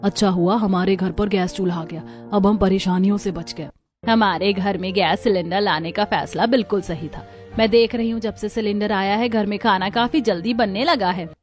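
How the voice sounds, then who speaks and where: A woman voicing homely village women of one family in a cartoon story, with expressive talk. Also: medium pitch, moderate pace, faint music behind, close to an everyday voice. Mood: reflective. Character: village women of a family, daughters-in-law and mother-in-law. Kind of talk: Hindi cartoon story dialogue.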